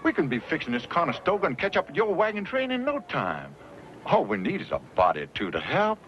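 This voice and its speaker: A man playing a rough-hewn, loyal middle-aged wagon-train hand with folksy rustic grammar in a western radio drama. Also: fairly high pitch, wildly swooping pitch, brisk, slight background noise. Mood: earnest. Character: rough-hewn, loyal frontier old hand named Tobias, plain-spoken. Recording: dull lo-fi radio recording, music.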